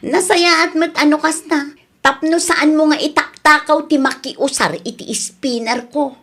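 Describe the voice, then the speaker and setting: A woman's voice: sits high, measured pace, a bit bright. An actress with an Ilocano Filipino accent playing an indignant, feisty young neighbor woman in a comedy radio drama.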